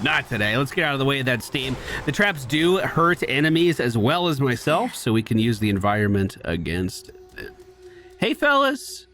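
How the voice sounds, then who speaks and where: A man's voice: mid-range voice, pushed and bright, slight background noise. A man giving casual gameplay commentary as host of a gaming video. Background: video game sounds.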